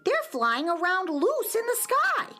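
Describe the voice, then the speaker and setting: Woman plays an eager ship's first mate, children's radio play: very high, wildly swooping, earnest. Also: a little slow, music in the background, heavily acted. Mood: earnest, urgent. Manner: theatrical, earnest, expressive. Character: eager first mate of a flying dream ship.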